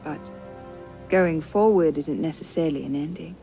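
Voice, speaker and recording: An actress playing a resolute, thoughtful young woman in a video game, speaking in a composed, measured way. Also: low-pitched, dark tone, faint music behind. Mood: reflective. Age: young adult.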